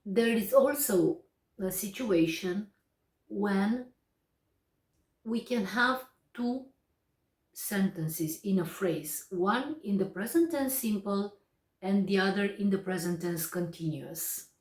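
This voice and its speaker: A young woman with a Romanian accent explains English grammar at an unhurried pace. She is a native Romanian speaker who pauses often and picks her words with care. She sounds set back from the mic in an echoey room. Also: medium pitch, distant mic. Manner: slow and deliberate, with frequent pauses and hesitations. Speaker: English teacher.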